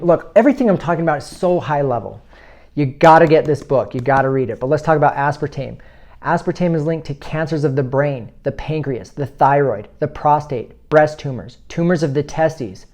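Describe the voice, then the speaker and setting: A man's voice: fairly high pitch, normal speed, a little mellow. A man in his late thirties giving an earnest, informal health talk to his viewers. He urges them on and reels off long lists of items. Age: 30s. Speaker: health video host.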